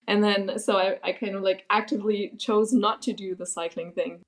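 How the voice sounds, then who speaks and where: A young woman from Germany, a podcast guest answering in a relaxed way, laughing easily and using many fillers. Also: medium pitch, normal speed. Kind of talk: casual interview answers.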